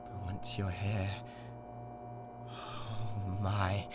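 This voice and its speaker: A man's voice: fairly low pitch, flat delivery, extremely slow, over loud music. A British man playing an obsessive, possessive young streamer. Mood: cold menace. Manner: swings from whispers to shouting. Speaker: voice actor. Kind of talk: ASMR roleplay audio drama.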